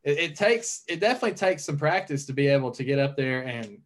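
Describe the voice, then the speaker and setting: A man's voice: fairly high pitch, big pitch swings, fast talker. A young man with a Southern US accent, chatting easily as a guest on a podcast. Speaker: podcast guest, pro pitcher. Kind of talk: casual podcast chat.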